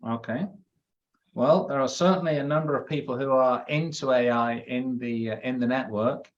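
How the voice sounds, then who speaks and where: A man's voice: medium pitch, moderate pace. A man interviewing a new member for a professional network, friendly and hesitant, with many fillers and restarts. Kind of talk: interview conversation. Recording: dull lo-fi video-call sound.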